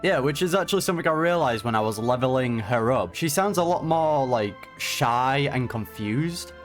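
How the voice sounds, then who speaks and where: A man reacts casually to a game as he plays. His voice sits in a medium range, and he talks in loose, chatty slang. Soft music can be heard in the background. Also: medium pitch, lively intonation, normal speed. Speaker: gaming reaction streamer.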